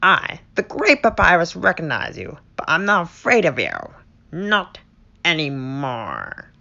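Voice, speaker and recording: Man doing a sharp, theatrical animatronic guardian. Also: a little high, huge pitch swings, measured pace, gravelly, heavily acted. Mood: pompous, defiant. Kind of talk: amateur game character voice lines. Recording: dull lo-fi home recording.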